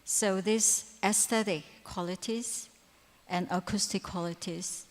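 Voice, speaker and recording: A woman giving a lecture at a conference, pausing often with fillers and correcting herself. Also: mid-range voice, steady tone. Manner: hesitant, frequent fillers and self-corrections. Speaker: conference speaker. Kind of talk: lecture presentation. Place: large conference hall. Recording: reverberant hall sound.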